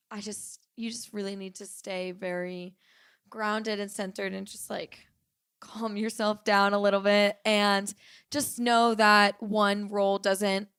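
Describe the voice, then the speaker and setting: A young woman with a gravelly, raspy voice chats casually as a podcast co-host. She tells stories with quick, emphatic bursts. The recording is dry and close. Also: mid-range voice, no room sound. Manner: animated storytelling, quick and emphatic. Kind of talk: casual chat.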